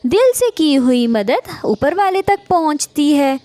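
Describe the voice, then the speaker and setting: A woman's voice: sits high, sing-song, a little slow, warm tone, dry recording. A woman narrating a scripted children's story.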